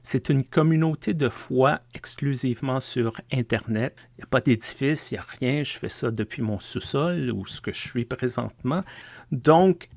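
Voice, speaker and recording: Male pastor and podcast co-host, Québécois accent, mid-range pitch, conversational delivery, very dry recording. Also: moderate pace, creaky, dry recording. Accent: Québécois French. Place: home basement.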